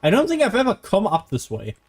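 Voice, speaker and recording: A woman's voice: mid-range voice, huge pitch swings, quick pace, darkish tone. A woman giving casual, offhand gameplay commentary with a wry, impatient manner. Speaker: gaming streamer. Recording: faint game music behind.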